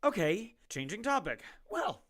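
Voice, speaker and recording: A woman's voice: mid-range voice, very animated, a little slow, a bit bright. A woman playing an awkward, chatty young male doctor who rambles and pokes fun at himself. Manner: rambling, self-deprecating and chatty. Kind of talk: audio drama acting.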